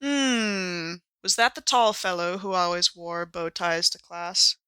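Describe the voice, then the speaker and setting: A woman's voice: lowish voice, fairly even intonation, slow pace, bright, forceful tone, clear, resonant tone, no room sound, studio quality. A woman playing a tabletop role-playing game, reacting casually and playfully in character and out. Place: home studio.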